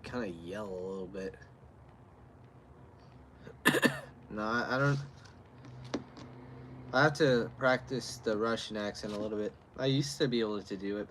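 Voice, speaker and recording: A man's voice: fairly low pitch, moderate pace, long pauses, a bit bright, slight background noise. A man livestreaming outdoors in chilly air, rambling casually and answering his chat in a loose, plain-spoken way. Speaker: livestreamer reviewing beer.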